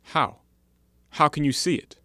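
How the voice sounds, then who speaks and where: An actor playing an uneasy, defensive ex-convict in an audio play, speaking formally as if forever excusing himself. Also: male voice, on the high side, measured pace, pushed and bright, no echo, studio quality. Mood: guarded. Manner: formal, elaborately self-excusing. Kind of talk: stage-play dialogue in an audio drama.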